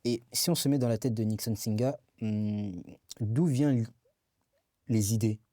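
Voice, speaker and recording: Male interviewer, low and mellow, short casual questions. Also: moderate pace, no echo, clean studio sound. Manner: brief backchannel replies.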